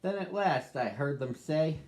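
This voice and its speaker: Guy reading as a sad lonely pup from a pet shop, storybook voice, kinda high, slow and careful. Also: expressive, a little mellow. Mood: wistful.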